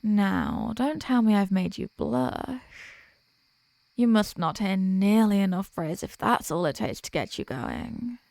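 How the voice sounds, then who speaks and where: A worldly, wry archdemoness , acted by a woman, speaks in a medium-pitched voice with coy, smug formality. She teases and flirts in the style of an intimate roleplay audio drama. The sound is dry, with no room around it. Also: lively intonation, a little slow, creaky, a little mellow, dry recording. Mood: flirty, warm.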